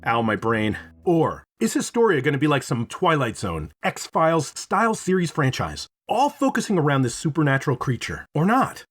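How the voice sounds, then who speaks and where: A man's voice: on the high side, wildly swooping pitch, moderate pace, hardly any pauses. A man narrating a scripted review as the host of the show.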